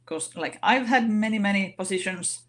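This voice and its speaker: A woman speaking English as a non-native language, giving an online session talk in a halting way with many fillers and restarts. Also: mid-range voice. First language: not English. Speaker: organization vice president giving a talk.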